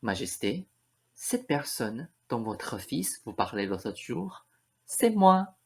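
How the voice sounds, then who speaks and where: A woman's voice: extremely low pitch, very animated, slow pace, husky, exaggerated voice. A woman playing an eccentric, pleading lady who insists she is a prince's love, in a student radio play. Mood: coy, insistent.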